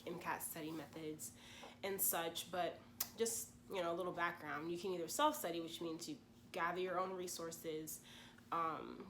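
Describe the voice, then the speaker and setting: Casting note: twenties woman, stuffy from a cold, lowish voice, casual vlog chatter, faint hiss behind. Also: fairly bright tone. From Louisiana, USA. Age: 20s. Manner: chatty, frequent "you know" asides. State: congested from a cold. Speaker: vlogger and pre-med gap-year student. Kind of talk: casual explanatory vlog talk.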